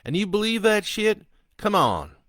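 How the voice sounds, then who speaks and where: A man's voice: high-pitched, wildly swooping pitch, a little slow, a bit bright, no room sound, studio quality, voice pushed up, exaggerated voice. A man voicing a crude, gloating male killer on death row who mocks a priest. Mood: taunting. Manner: taunting, vulgar, mocking.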